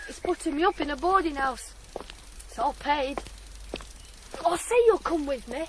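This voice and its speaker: Earnest, high-voiced farm boy. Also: moderate pace, a bit bright, a little hiss or hum, rough recording. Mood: hopeful. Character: eager, shy teenage farm boy, a young ploughman. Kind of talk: 1980s British radio drama acting.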